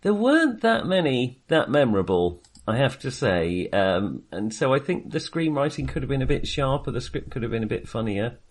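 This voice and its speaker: A man's voice: medium pitch, big pitch swings, moderate pace, hardly any pauses. A man co-hosting a casual film review chat, speaking hesitantly and often restarting and correcting himself. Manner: hesitant, self-correcting, with frequent repeated starts. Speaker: film podcast co-host.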